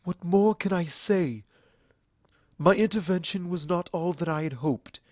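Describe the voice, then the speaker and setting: A regal mare of the night, played by a man in a fantasy storybook. The voice is lifted in pitch, solemn and earnest. The phrasing is formal and measured, in a dry recording. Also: darkish tone, no echo. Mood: regretful.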